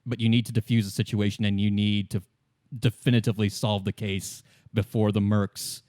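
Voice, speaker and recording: A man running a tabletop roleplay as game master, laying things out in measured, deliberate explanatory phrases. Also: on the low side, level tone, a little mellow, no echo, studio quality.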